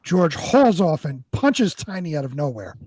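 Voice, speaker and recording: A man's voice: a little high, very animated, measured pace, a little mellow, no room sound. A man co-hosting a casual live show, chatting and reading out narration. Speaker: show co-host and drama narrator.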